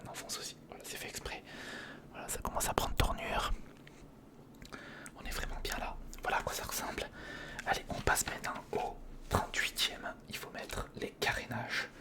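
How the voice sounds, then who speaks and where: A person whispering informal ASMR commentary in short step-by-step remarks while building, speaking directly to the viewer. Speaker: ASMR video creator and host.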